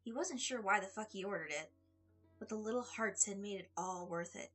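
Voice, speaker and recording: A woman reading a story aloud in an expressive audiobook style, with a slightly husky voice. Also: medium pitch, normal speed. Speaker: fan fiction reader. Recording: music under the voice. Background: background music.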